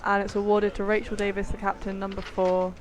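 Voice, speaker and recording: A woman's voice: medium pitch, monotone, fairly quick. A woman giving live match commentary as a sports co-commentator.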